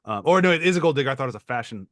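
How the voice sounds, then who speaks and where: Podcast co-host, male, mid-pitched, rambling and chuckly, dry close mic. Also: animated, rapid-fire, fairly bright tone, no room sound. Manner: rambling, laughs while talking. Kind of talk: casual chat.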